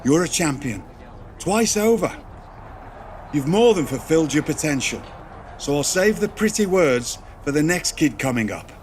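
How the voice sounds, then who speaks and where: A man's voice: a little high, very animated, moderate pace, a little hiss or hum. A man playing a wry, proud, seasoned mentor in a wrestling game cutscene. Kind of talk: video game cutscene acting.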